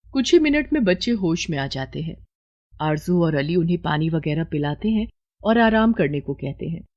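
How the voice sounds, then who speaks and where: A woman's voice: on the low side, a little mellow, lo-fi sound. A woman narrating a scripted moral story as storyteller.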